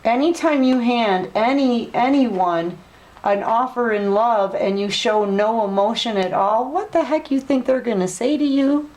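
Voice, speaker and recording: Woman, tarot reader, casual, direct, gently scolding, unhurried. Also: on the high side, poor audio. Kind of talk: casual online tarot reading.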